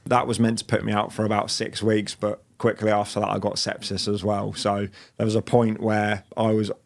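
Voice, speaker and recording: A man's voice: lowish voice, moderate pace, gravelly. A man in his late twenties, a business owner as guest, talking casually with fillers and restarts. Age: late 20s. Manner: relaxed, rambling with fillers and restarts. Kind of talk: casual interview chat.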